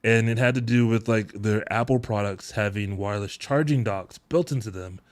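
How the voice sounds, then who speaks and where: A man in his thirties hosting a live tech-deals stream from his home setup, chatting in a relaxed, rambling way with frequent chuckles into a close boom mic. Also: moderate pace, no room sound. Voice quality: deep voice. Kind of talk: casual live-stream chat. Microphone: boom mic close to mouth, headphones on.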